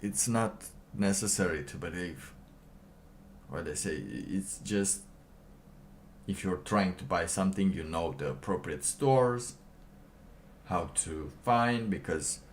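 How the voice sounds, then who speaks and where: A man giving casual livestream commentary and reading documents aloud, halting and rambling, often pausing mid-sentence. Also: sits low, slight background noise. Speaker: livestream host.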